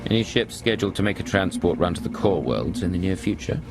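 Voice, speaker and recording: An actor playing a composed, pragmatic agent and leader in a video game, with measured, deliberate delivery. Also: male voice, low voice, lively intonation, loud music behind the voice. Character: composed, pragmatic male agent leading an alliance.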